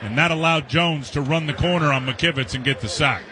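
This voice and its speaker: A man's voice: a little high, fairly quick, noisy background. An American man giving live football analysis as a color commentator on the radio.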